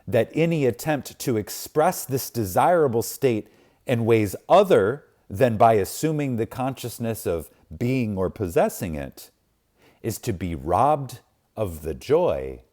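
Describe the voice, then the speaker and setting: Slow, solemn man reading scripture-like text. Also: lowish voice, big pitch swings, a little mellow. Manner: solemn, emphatic, with deliberate pauses. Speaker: reader of a spiritual book. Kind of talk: reverent scripted reading, audiobook-like.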